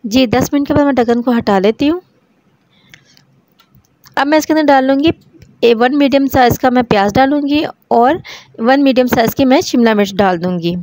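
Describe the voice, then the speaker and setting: Calm Urdu-accented woman cooking voice-over. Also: sits high, normal speed, pauses often, no echo. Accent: Pakistani Urdu. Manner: calm, repeats each step. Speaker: cooking channel host. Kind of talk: step-by-step recipe voice-over.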